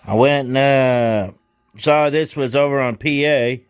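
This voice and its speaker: A man's voice: medium pitch, a little slow, a little hiss or hum. A man hosting a hands-on repair video in a casual, folksy, jokey way with colloquial wording. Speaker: host of a CB radio repair video.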